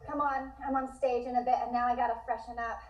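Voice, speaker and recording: A woman's voice: on the high side, level tone, quick pace, darkish tone, close to an everyday voice. A woman playing a sassy, guarded but friendly young woman at a script table read. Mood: teasing, breezy. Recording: muffled, echoey room.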